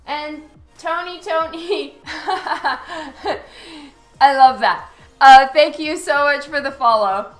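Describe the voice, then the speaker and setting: Slow, high-voiced woman with a fading voice. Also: roomy sound, soft background music. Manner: thanks followers by name, laughs often. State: losing her voice from a cold. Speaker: artist streaming live. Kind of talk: casual chat with viewers while drawing.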